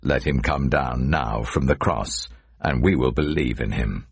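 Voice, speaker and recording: Scornful heckler at an execution, played by a male narrator, drawn-out jeers, very deep and gravelly, scripture drama. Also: dry recording, full character voice. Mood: mocking. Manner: slow, drawn-out jeering. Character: scornful mob of jeering men at an execution.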